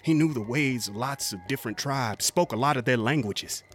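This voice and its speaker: Actor playing a folksy, haunted cavalry soldier over music. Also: male voice, mid-range voice, a bit bright, lightly acted. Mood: plain, uneasy. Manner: folksy, ungrammatical, halting storytelling. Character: haunted US Cavalry veteran, rough-spoken frontier soldier. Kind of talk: dramatic first-person monologue, radio drama.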